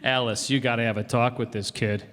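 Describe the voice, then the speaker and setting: A man's voice: medium pitch, little pitch movement, a little fast, fairly bright tone, close to an everyday voice. A man playing a vain, folksy, slangy husband and father in a radio comedy re-creation. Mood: boastful, breezy. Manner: boastful, breezy. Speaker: radio player. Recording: reverberant hall, live stage performance.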